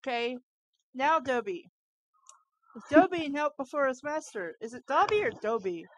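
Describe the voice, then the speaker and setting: A woman's voice: high voice, sing-song, normal speed, a little hiss or hum, rough recording. A woman co-hosting a fan fiction read-aloud, breaking off into baffled, informal asides. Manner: incredulous, informal, interjecting asides.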